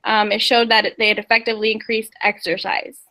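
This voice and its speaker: A woman's voice: medium pitch, level tone, brisk, bright, forceful tone. A young woman from Indiana giving a class presentation, summarizing research articles hesitantly from her notes. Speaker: student presenter.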